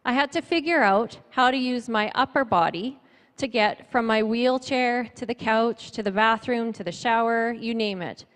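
Female church speaker, middle-aged, slightly high voice, earnest read-from-notes delivery, handheld mic with long room reverb. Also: moderate pace. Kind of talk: sermon with personal testimony and scripture reading. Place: church stage before a seated audience, indoors. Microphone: handheld microphone. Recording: reverberant hall, amplified church sound.

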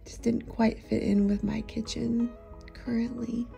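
Mellow, gravelly-voiced woman chatting casually. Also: fairly high pitch, a little slow, soft background music. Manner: chatty and relaxed, easily amused. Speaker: thrift shopping vlogger. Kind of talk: casual voice-over narration.

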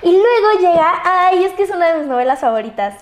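A young woman with a high voice and a Mexican accent, hosting a casual interview. The studio sound is roomy and echoey. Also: very animated, fast talker. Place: small studio set at a desk.

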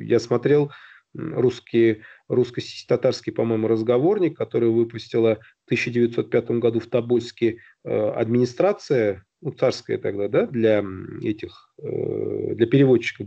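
Middle-aged man, dark gravelly voice, halting explainer on a dull lo-fi call line. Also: mid-range voice. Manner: halting, many fillers and restarts, explanatory. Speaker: guest on a video-call round table. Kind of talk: casual discussion. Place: indoor room at home. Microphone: video call, phone or webcam close to the face. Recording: dull lo-fi video call audio.

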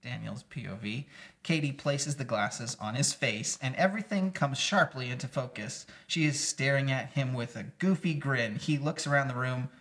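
A man's voice: mid-range voice, moderate pace, fairly bright tone. A young man casually reading script directions aloud at a table read. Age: young adult. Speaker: stage-direction reader. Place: small indoor room at a table.

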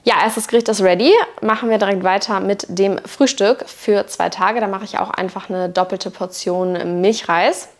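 A woman's voice: medium pitch, steady tone, moderate pace, hardly any pauses. A woman, chatting casually and colloquially as she narrates a home vlog on a clip-on mic. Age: 20s. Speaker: vlogger. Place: indoor room at home. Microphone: clip-on wireless lapel mic.

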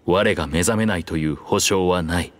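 An actor playing an ancient, proud dragon king in human form, speaking gravely and formally in a measured way for a game. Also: low-pitched, close to an everyday voice. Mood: solemn. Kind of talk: Japanese game voice acting, archaic dramatic dialogue.

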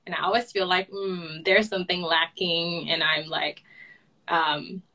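A woman in her twenties from Hong Kong with a Hong Kong accent, telling her story softly as an interview guest on a webcam at home. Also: lowish voice, fairly even intonation, a little slow. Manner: soft-spoken, light laughs, simple phrasing. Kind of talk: personal story in a video call. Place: home room, indoors. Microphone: video call webcam.

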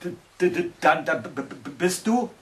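A man's voice: fairly high pitch, lively intonation, moderate pace, a bit bright, far from the mic. A man voicing a small, frightened but plucky male troll who stammers hesitantly in a fairy tale.

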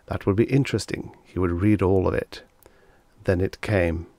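A man with a fairly deep voice reads fiction as an audiobook narrator. His delivery is measured and expressive. The recording is dry, free of room sound. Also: dry recording. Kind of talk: scripted story narration.

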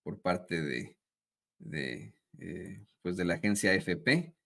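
A male journalist in his forties delivers news commentary in a low-pitched but very bright, forceful voice. He is emphatic and denouncing, repeating words for stress as he presents reports from a small indoor studio. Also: slow pace, vocal fry. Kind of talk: opinionated news commentary, partly reading a wire report.

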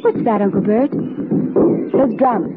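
A woman's voice: a little high, unhurried, soft background music, lightly acted. Actress as proud, uneasy young woman, tinny radio. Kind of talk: old radio drama acting. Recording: noisy, narrowband old radio recording.

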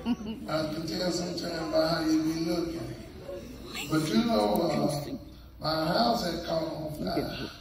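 A middle-aged man doing stand-up comedy from a church stage, telling folksy stories in a drawl and shouting his punchlines. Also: fairly high pitch, slow, crowd noise. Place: large church sanctuary, on the pulpit stage. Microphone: stand mic, filmed by a phone from the pews. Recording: echoey sanctuary, phone from far off, noisy.

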